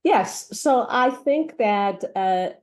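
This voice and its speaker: A woman's voice: fairly high pitch, animated, drawn-out words, a little mellow. A middle-aged woman doctor who grew up in Iran and Africa, English not her first language, talking earnestly with many fillers and restarts in an interview. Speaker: pediatric doctor. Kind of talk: interview conversation.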